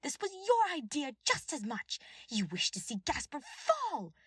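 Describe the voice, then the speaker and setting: A woman voicing a frazzled, venomous female royal advisor who shouts accusations in an audiobook drama. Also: sits high, huge pitch swings, a little slow, pitched above the performer's own voice, heavily acted. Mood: furious, desperate.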